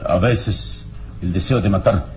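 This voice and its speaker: An actor playing a calm, wise adventurer hero with a solemn, deliberate delivery in a radio drama. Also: male voice, low voice. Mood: calm, pondering. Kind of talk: dramatic radio narration and acting. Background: dramatic music.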